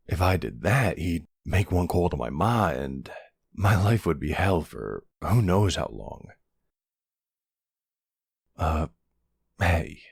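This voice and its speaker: A man acts out a mafia boss smitten with his date, in a very deep, husky voice. He takes his time over every phrase, courteous and tender. His hushed, hesitant earnestness suits an intimate ASMR romance roleplay. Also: no room sound, studio quality, heavily acted. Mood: gentle, reassuring. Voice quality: low, gravelly, hushed. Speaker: audio roleplay voice actor.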